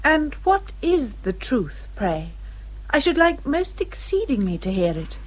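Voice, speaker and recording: An actress playing a gentle young English gentlewoman in a period radio drama, speaking softly, politely and formally. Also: high voice, big pitch swings, a little slow, heavily acted, rough recording. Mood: astonished. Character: gentle, proper young Regency gentlewoman, easily troubled.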